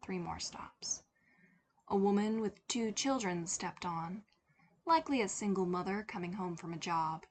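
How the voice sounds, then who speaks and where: A woman's voice: mid-range voice, unhurried, a little mellow. A woman giving a scripted narration of a fiction story as a podfic reader. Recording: faint background noise.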